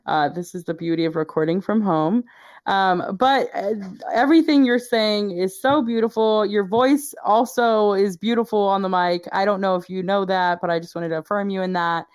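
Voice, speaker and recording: A woman's voice: medium pitch, normal speed, no echo. A woman hosting an interview from home, affirming and encouraging her guest. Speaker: podcast host. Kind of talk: casual interview chat. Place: home booth.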